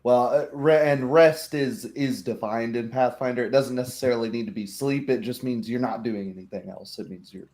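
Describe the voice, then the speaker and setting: A man's voice: medium pitch, normal speed, hardly any pauses, a little mellow. Man casually explaining tabletop game rules. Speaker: game master.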